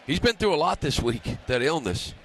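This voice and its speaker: A man's voice: on the high side, very animated, normal speed, a bit bright. An American man giving conversational color analysis during a live radio baseball broadcast. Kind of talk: live sports commentary, conversational. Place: ballpark broadcast booth. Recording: live stadium broadcast, ambient noise.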